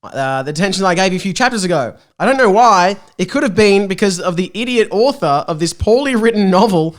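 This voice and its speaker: A man's voice: sits high, animated, a little fast. A man hosting a podcast, chatting casually and reading aloud from a story in a self-mocking way, often breaking into laughter. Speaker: podcast host. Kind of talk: casual comedy chat, reading aloud his own old fan fiction.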